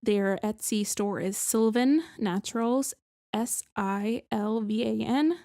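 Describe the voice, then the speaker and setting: A woman's voice: on the high side, slow pace, dark tone, dry recording, studio quality. A woman co-hosting a podcast in casual chat, rambling and self-deprecating with many restarts. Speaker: podcast co-host.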